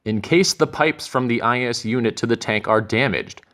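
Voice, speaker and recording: A man reading scripted narration for a corporate training film in a measured, methodical way. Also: medium pitch, fairly bright tone.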